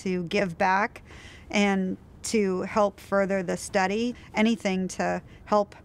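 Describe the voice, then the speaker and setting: A woman's voice: medium pitch, flat delivery, slow. A woman, a study participant, chatting casually and upbeat in an interview outdoors in her driveway.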